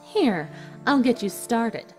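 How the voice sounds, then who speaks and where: A cruel pony sorceress gloats in a high, very animated voice. She is theatrical and mock-polite, savouring her power like a storybook villain, with music behind her. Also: slow. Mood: menacing, gloating. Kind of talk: dramatised fan fiction audio reading.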